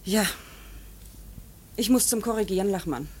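An actress playing a serious, dutiful, resigned grown daughter in a radio drama, speaking in a sober, measured way. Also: female voice, mid-range voice, a little mellow, a little hiss or hum, natural voice. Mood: earnest.

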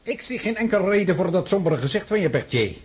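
An actor playing an imperious, overconfident French emperor in a radio drama, brusque and commanding. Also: male voice, high voice, sing-song, quick pace, slightly rough, exaggerated voice. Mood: impatient confidence. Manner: brusque, commanding, self-assured.

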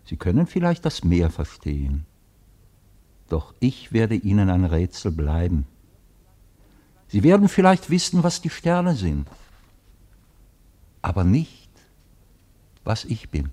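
A man's voice: wildly swooping pitch, slow pace, long pauses, dark tone, exaggerated voice. A man acting in a German radio play as an enigmatic, taunting mastermind, defiant and grandiose, declaiming theatrically. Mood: taunting, mysterious. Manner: theatrical, declamatory, swinging from murmur to booming proclamation.

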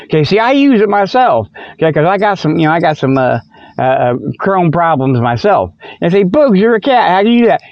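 A man's voice: high-pitched, very animated, fairly quick, no echo, lo-fi sound. A man voicing a goofy, wisecracking cat who hosts a comedy radio show in a rambling, folksy, stumbling way. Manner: rambling, folksy, stumbling, chuckles at own jokes. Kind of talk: comedic radio monologue with spoof ads.

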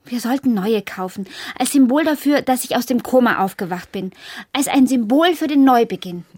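An actress playing a stubborn, questioning wife in a radio drama, terse and insistent. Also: female voice, fairly high pitch, lively intonation, normal speed, a little mellow, no room sound, studio quality. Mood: stubborn, discontented. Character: stubborn, questioning wife newly woken from a coma.

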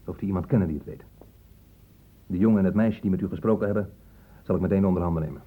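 An actor playing a cynical police inspector in a radio drama, blunt, sardonic and colloquial. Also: male voice, low-pitched, very fast. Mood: blunt, stern. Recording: muffled phone-like old radio recording.

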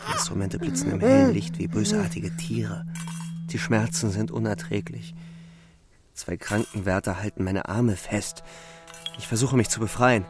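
An actor playing a wounded young soldier who narrates a radio drama in a terse, urgent, defiant way. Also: male voice, on the low side, very animated, normal speed, loud music behind the voice. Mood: tense, grim. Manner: terse, urgent present-tense telling.

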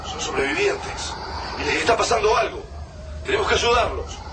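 A man's voice: high-pitched, big pitch swings, normal speed, a crowd in the background, background noise. An actor playing a brave man who takes charge in a radio drama, speaking with a Rioplatense accent in a determined, commanding way. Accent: Rioplatense Spanish. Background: music score.

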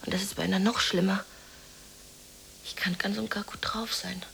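An actress playing a lonely, depressed, dreamy woman in a radio play monologue, musing haltingly to herself in a breathy half-whisper. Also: mid-range voice, flat delivery, fairly bright tone, slight background noise. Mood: uneasy.

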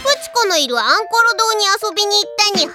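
A vain, lazy little alien cat-girl princess from an anime audio drama. The voice is high, childlike and cutesy, with a bossy, self-important delivery and cat-like verbal tics. Prominent music plays behind it. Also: sing-song, quick pace. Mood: bright diary reading. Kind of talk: anime audio drama acting, reading a diary aloud.

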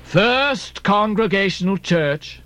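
A man voicing a stern, pious old Quaker ship captain with a hollow voice and slow, preachy, archaic speech. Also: sits high, expressive, pushed and bright, pitched above the performer's own voice, full character voice. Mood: stern, suspicious.

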